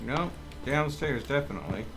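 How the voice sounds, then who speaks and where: A man's voice: mid-range voice, lively intonation, normal speed, music in the background, low-quality recording. A man giving casual video game commentary as a streamer, musing aloud as he plays.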